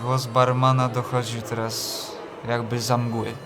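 Radio drama lead: male actor as anxious, introspective young seminarian, even mid-pitched delivery over music. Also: a little slow, close to an everyday voice. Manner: literary, introspective. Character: underage seminary student, anxious, drinking to escape. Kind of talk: first-person radio drama narration and acting. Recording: music under the voice.

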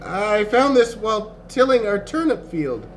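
A man's voice: sits high, big pitch swings, unhurried, a little hiss or hum, pitched above the performer's own voice. A man voicing a timid, earnest young farm boy who stammers deferentially in a fantasy story. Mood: nervous.

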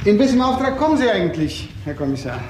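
An actor playing a cold, commanding crime boss with a formal, icy manner in a radio crime drama. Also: male voice, high voice, sing-song, fast talker, noisy background. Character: cold, menacing male crime boss, controlled and threatening. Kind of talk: German crime radio play acting.

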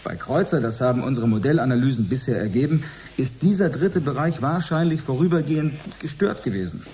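Actor as lively-pitched, didactic male scientist. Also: close to an everyday voice. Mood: earnest, explanatory. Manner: didactic, deliberate, formal. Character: earnest male research scientist explaining his theory. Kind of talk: radio drama, expert interview. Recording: faint background noise.

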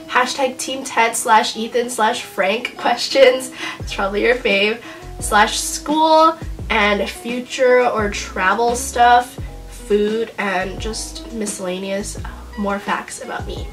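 A woman's voice: fairly high pitch, slow, fairly bright tone, faint music behind. A teenage young woman with an American accent chatting casually to camera in an informal, self-deprecating way full of slang. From Honolulu, Hawaii, United States. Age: teenager, about 16 to 17. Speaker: vlogger. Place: small bedroom, indoors.

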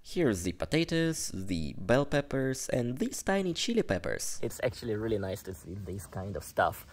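A man in his twenties with a Russian accent, casually narrating a tour in a relaxed, chatty way with slightly hesitant phrasing. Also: lowish voice, normal speed. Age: 20s. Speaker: vlogger giving a house tour. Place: outdoor garden plot. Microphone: phone at arm's length.

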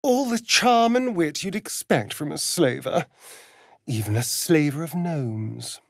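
A man's voice: a little high, expressive, a little slow, gravelly, no echo, clean studio sound, heavily acted. A male actor with an English accent voicing a sardonic, seductive, vain vampire rogue in a video game, with an arch, flamboyant drawl. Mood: mocking. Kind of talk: theatrical video game dialogue.

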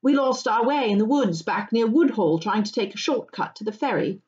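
A woman voicing a cheerful young male hobbit gentleman in a storybook, speaking politely and breezily. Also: a little high, expressive, normal speed, muffled recording, voice pushed up, close to an everyday voice. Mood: candid, explaining.

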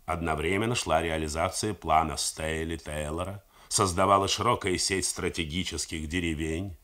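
A man reading the part of an older defense minister who speaks slowly and deliberately. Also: deep voice, fairly even intonation, pushed and bright. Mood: grim. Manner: slow, deliberate, emphatic. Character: blunt older male defense minister, measured. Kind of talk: radio drama reading.

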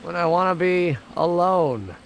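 An actor playing a nervous, lovestruck young inventor in a video game, with a formal, theatrical, excitable manner. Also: male voice, high-pitched, a little slow, creaky, a little hiss or hum, full character voice. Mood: bitter, despondent. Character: nervous, lovestruck young male inventor, easily flustered and dramatic. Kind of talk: animated video game acting.

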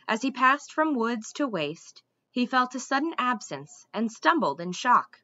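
A young adult woman reading a storybook aloud like a teacher, with deliberate, dramatic phrasing. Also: fairly high pitch, very animated, pushed and bright, no echo, dull audio. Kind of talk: expressive storybook read-aloud. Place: small room indoors at home.

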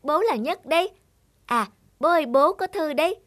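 A woman's voice: high voice, lively intonation, normal speed. A woman voicing a bookish, grumbling skinny schoolboy in a cartoon, with a plaintive manner. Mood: affectionate. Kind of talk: dubbed cartoon acting.

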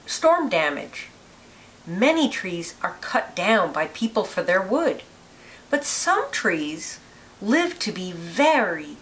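A woman reading a children's picture book aloud with deliberate, expressive phrasing. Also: medium pitch, slight background noise. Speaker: read-aloud narrator, teacher.

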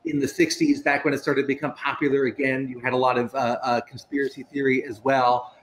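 A man giving casual opinions as a panelist on a video call, somewhat halting as he talks. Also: mid-range voice, low-quality recording. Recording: steady background noise, video call.

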